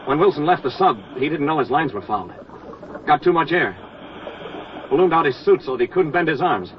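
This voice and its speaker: An actor playing a brave navy diver giving a terse, urgent running report in a 1940s radio drama. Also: male voice, on the high side, expressive, fairly quick, close to an everyday voice. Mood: grave, urgent. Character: brave male navy diver Moran reporting tense undersea rescue. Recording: noisy, dull vintage 1940s radio recording.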